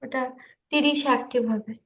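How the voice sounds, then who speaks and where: High voiced lady showing stuff on a group call, hesitant, kinda muffled sound. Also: steady tone, brisk, fairly bright tone. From India. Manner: hesitant, nervous. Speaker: presenter in an online group class. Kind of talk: casual screen-share presentation. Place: online group video call. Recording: echoey, muffled online call.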